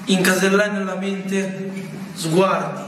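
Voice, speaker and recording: A man's voice: high-pitched, flat delivery, slow. A man reciting poetry as a reader at a recital. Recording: echoey hall, distant, clear noise.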